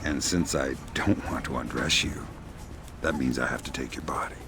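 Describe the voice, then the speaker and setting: Deep-voiced deadpan veteran spy. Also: male voice, moderate pace, vocal fry, faint music behind, heavily acted. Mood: menacing deadpan. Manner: deadpan, wry. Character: cool, dryly sarcastic veteran male spy. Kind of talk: video game voice acting.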